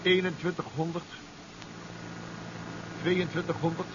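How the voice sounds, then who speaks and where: An actor playing a confident, reassuring professor who built a submarine, speaking with assured precision in a 1970s radio drama. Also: male voice, a little high, steady tone, unhurried, lightly acted. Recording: muffled, noisy old 1970s radio recording.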